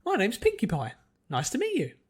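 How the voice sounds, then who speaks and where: Man acting cheerful naive young female cartoon heroine, raised high pitch, eager, bouncy. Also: wildly swooping pitch, normal speed, breathy edge, heavily acted. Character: bubbly young female pony-turned-human, cheerful and naive.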